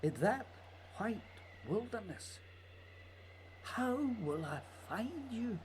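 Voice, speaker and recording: A male actor plays a dreamy, anxious writer in a lyrical radio drama. He speaks slowly and haltingly, with a hushed poetic yearning. The recording carries a light noise. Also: high-pitched, lively intonation. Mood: yearning, haunted. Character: wistful, anxious male writer dreaming himself onto polar ice. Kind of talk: lyrical radio play acting.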